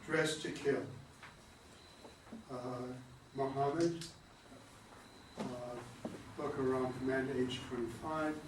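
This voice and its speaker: A man's voice: mid-range voice, level tone, slow, long pauses. A man reads a play's stage directions aloud in a plain way, now and then tripping over a word. He is recorded from a distance in an echoey room, with a faint noise underneath. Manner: plain, with occasional stumbles.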